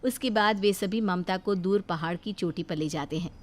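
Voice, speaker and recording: A woman narrating a moral cartoon story in a steady, dramatic way. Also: fairly low pitch, normal speed.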